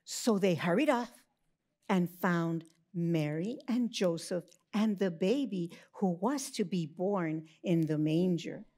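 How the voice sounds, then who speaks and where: A woman narrating a scripted Christmas story for children, expressive, with drawn-out emphasis. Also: fairly low pitch.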